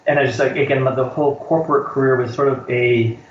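A man's voice: mid-range voice, fairly even intonation, a little fast, slight background noise. A middle-aged American man, a college instructor, answering interview questions in a thoughtful way and often repeating words as he searches for phrasing. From United States. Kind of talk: reflective interview conversation. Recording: roomy, slightly dull recording.

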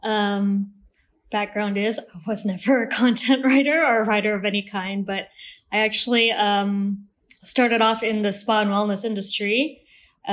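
A woman from Malaysia with an American accent, talking as a podcast guest in a relaxed, talkative way with many ums and laughs. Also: mid-range voice. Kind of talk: casual interview.

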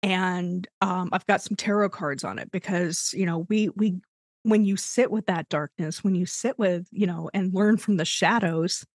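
A woman's voice: fairly low pitch, normal speed, dry recording, studio quality. A woman in her forties chatting on a podcast in a rambling, reflective way, with lots of "you know.". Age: 40s. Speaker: podcast co-host and tarot deck designer. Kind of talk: casual chat.

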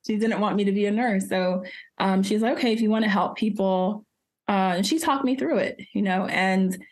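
A chatty woman pharmacist, born in Jamaica and raised in New Jersey, tells her story as a podcast guest. She talks freely, laughs often and fills her pauses with "um" and "you know.". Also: medium pitch. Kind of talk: casual interview storytelling.

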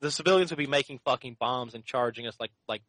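A man's voice: mid-range voice, fast talker, fairly bright tone, dry recording, poor audio. A man arguing his views assertively in a casual podcast chat. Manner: assertive, argumentative. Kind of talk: casual political debate.

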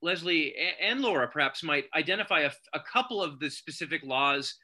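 A man's voice: on the high side, expressive, a little fast, bright, forceful tone. A man hosting a panel discussion, asking questions with hesitant restarts. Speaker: host.

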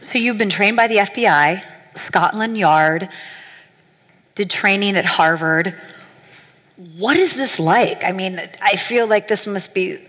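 A woman's voice: mid-range voice, measured pace, fairly bright tone. A woman hosting an onstage interview, asking questions in an upbeat, playful way. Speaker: interviewer, host. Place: event stage in a large hall. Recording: reverberant hall.

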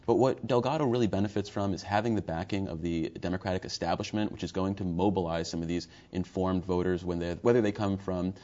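A man working as a political reporter, giving on-air analysis in a thoughtful, analytical way. His voice is very low. The audio sounds a bit dull. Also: fairly even intonation, quick pace, few pauses, warm tone. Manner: thoughtful, analytical, some restarts.

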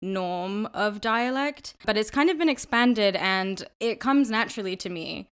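A woman's voice: mid-range voice, expressive, measured pace, smooth. A woman with a British accent speaking as the host of an interview podcast. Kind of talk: scripted introduction and casual interview chat.

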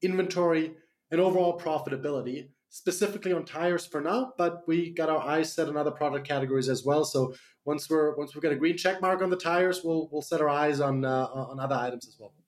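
Interview guest: man with a German accent, somewhat high-pitched, halting delivery full of "uh"s. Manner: hesitant, many fillers and restarts. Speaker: guest, startup CEO. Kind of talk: radio interview.

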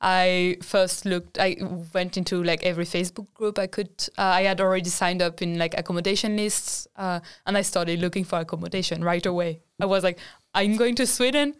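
A young woman, speaking as a student guest on a podcast. She tells her stories in an animated way and sometimes acts out her own thoughts. Her voice is smooth and on the low side for a woman. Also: a little fast, hardly any pauses. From France. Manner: lively, animated storytelling.

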